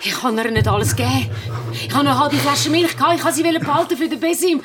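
An actress playing a frightened, protective grandmother in a horror radio play, with a Swiss German accent and halting, pleading delivery. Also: high voice, heavy background noise, lightly acted. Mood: distraught.

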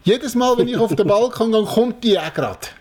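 Swiss German co-host, 40s, high bouncy voice, wry and hesitant. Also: male voice, animated, normal speed. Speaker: podcast co-host. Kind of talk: casual chat.

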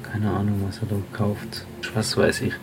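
A man's voice: lowish voice, completely flat delivery, normal speed, background noise. A man talking casually to himself in short, clipped remarks. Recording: music behind the voice. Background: music.

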